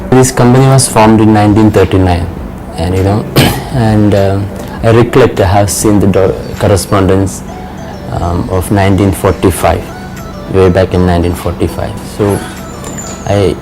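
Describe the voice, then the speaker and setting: A man in his thirties speaks in a low, measured voice during an office interview testimonial. He often drops in "you know". Music plays clearly behind him. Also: low-quality recording. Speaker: financial controller. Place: office indoors.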